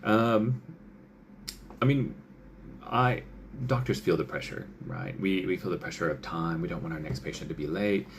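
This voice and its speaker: A man's voice: low-pitched, moderate pace, a little hiss or hum. A male doctor giving casual advice, chatty, with many fillers, who often acts out what patients and doctors might say.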